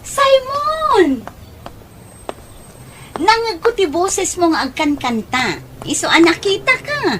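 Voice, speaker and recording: A woman's voice: very high-pitched, big pitch swings, slow pace, pauses often, fairly bright tone, a little hiss or hum, exaggerated voice. A woman playing a well-off, generous and assertive aunt in an audio drama, speaking persuasively with an Ilocano accent. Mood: excited, pleased.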